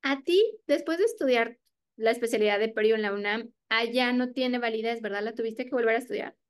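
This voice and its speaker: A woman hosts an interview and leads it with easy, friendly questions. Her voice sits fairly high. She often answers her guest with quick short replies. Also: clear, resonant tone. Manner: friendly, asks questions and gives short backchannels. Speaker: interview host. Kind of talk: casual interview chat.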